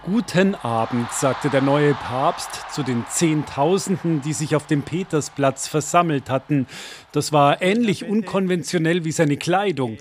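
Male reporter narrating a scripted radio feature, voice a bit on the high side. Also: unhurried, slight background noise.

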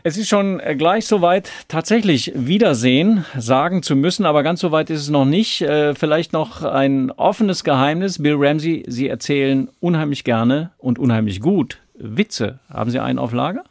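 A man presenting a radio program in a relaxed, wry way, interviewing a guest. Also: fairly high pitch, normal speed, hardly any pauses, no room sound. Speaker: radio host.

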